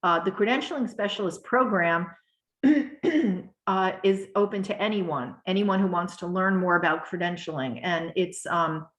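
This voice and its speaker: A woman with a hoarse, coughing voice, pitched on the low side. She explains a program at a measured pace, with plenty of fillers and throat clearing. The call sounds roomy and echoey. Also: fairly bright tone. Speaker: webinar presenter. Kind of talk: explanatory webinar talk.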